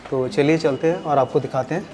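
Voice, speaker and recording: A man's voice: fairly high pitch, a little fast, dark tone. A man co-hosting a hotel vlog, upbeat and casual, tasting food for viewers. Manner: upbeat, mixes English phrases. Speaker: travel vlogger co-host. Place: hotel restaurant and balcony.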